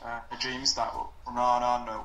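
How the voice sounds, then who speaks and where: A male student giving a halting, informal project update over a conference call. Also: mid-range voice, monotone. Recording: echoey, noisy conference call line.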